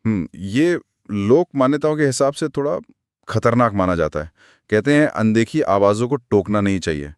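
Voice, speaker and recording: A man's voice: on the low side, lively intonation, normal speed, no room sound, studio quality. A man co-hosting a talk, giving analytical comments in a conversational way. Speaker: co-host.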